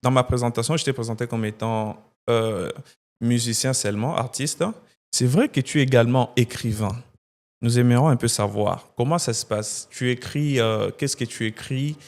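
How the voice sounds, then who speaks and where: A man's voice: mid-range voice, moderate pace, darkish tone. A man interviewing a guest, asking long, rambling questions full of fillers and restarts.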